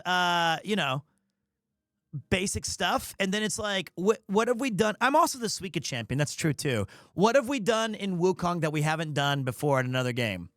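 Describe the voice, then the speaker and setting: A male livestreamer with a notably high voice for a man. He rambles through his opinions in casual chat, sometimes playfully defending himself. The sound is very dry, with no room around it. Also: fairly bright tone, no room sound. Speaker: live streamer, host of the show.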